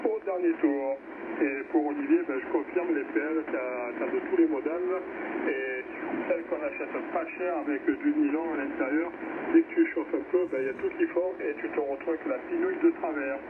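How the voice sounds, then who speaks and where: Really loud static, tinny shortwave, guy with highish voice chatting plain and informal on ham radio. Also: brisk. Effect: shortwave amateur radio transmission. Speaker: amateur radio operator. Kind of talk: casual ham radio chat. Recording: phone-like radio signal, very loud noise.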